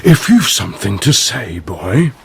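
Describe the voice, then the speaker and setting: A man's voice: fairly low pitch, very animated, unhurried, creaky, a little hiss or hum, exaggerated voice. An actor in a radio drama playing a proud, disgraced middle-aged father, clipped, weary and defiant. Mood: curt.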